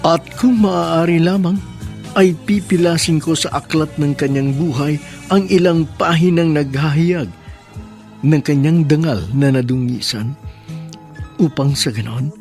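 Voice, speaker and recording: A man's voice: on the high side, measured pace, a little mellow, soft background music. An actor playing a solemn man who speaks in formal, poetic phrases about a loved one's disgrace.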